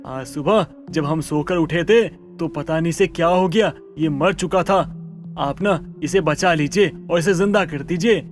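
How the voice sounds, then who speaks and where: A man plays a worried but resolute village headman in a cartoon moral tale. His voice sits a little high and he speaks earnestly, with emphasis. Music plays behind him. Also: measured pace, natural voice. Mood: pleading. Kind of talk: animated Hindi story dialogue.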